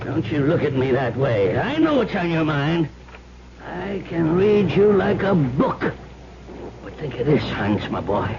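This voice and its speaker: Muffled vintage radio drama. Miserly old uncle, suspicious and snappish, high cracked creaky delivery. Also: male voice, sing-song, background noise. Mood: menacing, taunting. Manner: crotchety, drawn-out taunts and snappish muttering. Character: miserly, suspicious old uncle hoarding his money, taunting. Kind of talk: old-time radio mystery acting.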